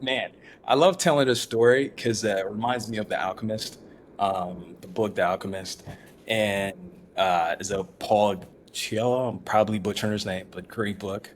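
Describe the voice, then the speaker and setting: A man from Ghana, a startup founder, talking as a guest in a casual interview, halting and restarting often with many fillers. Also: fairly low pitch, a little hiss or hum.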